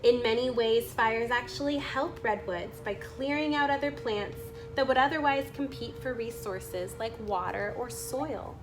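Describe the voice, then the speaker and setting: A woman's voice: fairly high pitch, over music. A woman reading a children's book aloud, stopping to add her own offhand remarks about the pictures. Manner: pauses to remark on the illustrations. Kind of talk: read-aloud with spontaneous comments on pictures.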